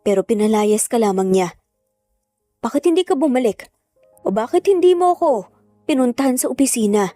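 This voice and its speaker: A woman's voice: a little high, animated, moderate pace, long pauses, mellow, dry recording, soft background music, natural voice. A woman voicing an earnest, protective estranged father in a narrated family drama, urgent and intense. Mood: dismayed.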